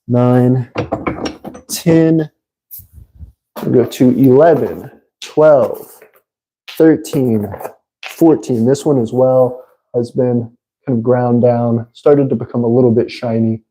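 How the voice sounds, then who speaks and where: A man with a congested voice, hosting a casual livestream and talking haltingly with many fillers. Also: mid-range voice, fairly even intonation, mellow, a little hiss or hum, rough recording. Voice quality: congested, nasal. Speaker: livestream host. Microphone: phone.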